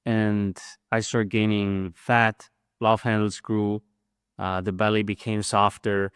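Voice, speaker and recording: A man's voice: lowish voice, little pitch movement, takes it slowly, fairly bright tone, clear, resonant tone, no echo, clean studio sound. A middle-aged man from Switzerland, a coach speaking as a guest in a casual interview, earnest and fluent, often tagging "right?".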